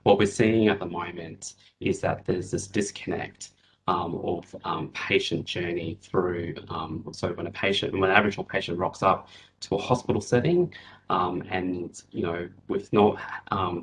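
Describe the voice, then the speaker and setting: A man in his thirties gives testimony at a hearing over a video call. His voice is very low and flat, and he speaks in a measured way with many fillers. A faint noise hangs behind him. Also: poor audio.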